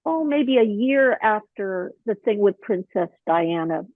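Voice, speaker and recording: A slightly hoarse woman chatting as an interview guest, telling stories animatedly with lots of fillers. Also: medium pitch, lively intonation, unhurried, no echo. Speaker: podcast guest, author and actor. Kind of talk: casual interview chat. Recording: dry, thin phone-line sound.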